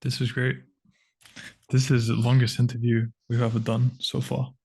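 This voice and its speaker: Flat-voiced male interviewer. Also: medium pitch, moderate pace, creaky, slightly rough. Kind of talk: interview question.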